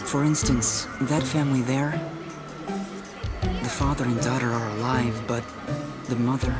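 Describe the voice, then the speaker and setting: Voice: medium pitch, little pitch movement, unhurried, over loud music, natural voice. An actor playing an earnest, anxious, devout young priest in a fantasy game audio drama, formal and hesitant in manner. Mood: solemn.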